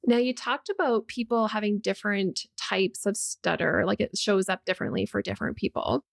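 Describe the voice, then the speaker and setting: A woman's voice: mid-range voice, moderate pace, gravelly. A woman hosting a podcast interview, chatting easily and asking follow-up questions. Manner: friendly, asks follow-up questions, tag questions. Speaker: podcast host, facilitator. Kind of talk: conversational interview.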